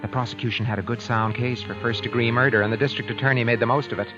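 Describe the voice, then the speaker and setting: An actor playing a cagey, calculating husband accused of murder, narrating and speaking in old radio suspense style, deliberate but halting under questioning. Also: male voice, medium pitch, steady tone, lightly acted. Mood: measured, brooding. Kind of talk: 1940s-50s radio suspense acting, first-person narration. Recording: muffled vintage radio recording, music behind.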